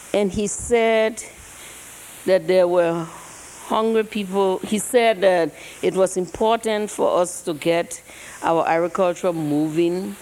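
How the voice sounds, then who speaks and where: Older Liberian woman, voice cracked and hoarse, unhurried lecturer with a lowish tone and a faint hiss behind. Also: a little mellow, low-quality recording. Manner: slow, reflective storytelling with pauses. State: hoarse from travel. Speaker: guest speaker. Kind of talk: public lecture. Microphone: handheld or podium mic.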